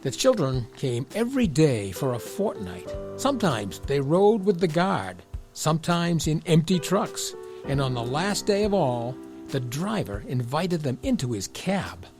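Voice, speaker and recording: A man's voice: a little high, sing-song, a little slow, over music. A man reading a scripted children's story as the narrator.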